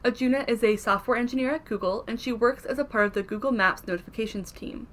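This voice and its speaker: A woman hosts the event and reads a scripted introduction. She speaks in a clear, announcing way, and her voice sits a little high. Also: fast talker, a bit bright.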